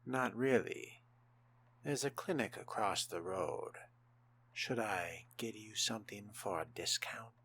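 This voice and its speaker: Man playing a deep, haughty vampire fledgling. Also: fairly bright tone, slight background noise. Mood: cool, probing. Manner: deliberate, theatrical, formal phrasing. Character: composed, haughty male fledgling vampire, formal and wry. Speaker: role-playing game player. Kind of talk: in-character gameplay narration.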